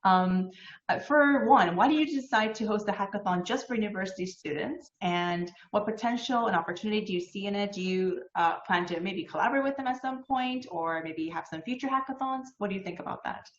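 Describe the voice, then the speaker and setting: A woman's voice: medium pitch, fairly quick. A young woman hosting an interview, asking questions in a chatty, friendly way. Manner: chatty, friendly, laughs easily. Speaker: interview host. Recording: dull, roomy sound.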